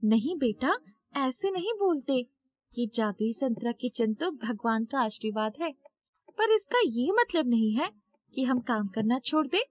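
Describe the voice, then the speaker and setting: Woman acting high-voiced, worried young mother. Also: big pitch swings, a little slow, a little mellow. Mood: gentle concern. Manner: earnest, emotional, pleading. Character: worried, devoted poor young mother, gentle and pleading. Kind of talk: Hindi moral story cartoon dialogue.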